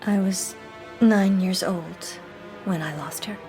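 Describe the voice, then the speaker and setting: An actress playing a wistful young society woman, telling her story in a hushed, measured radio drama style. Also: on the low side, soft background music. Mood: haunted, sorrowful.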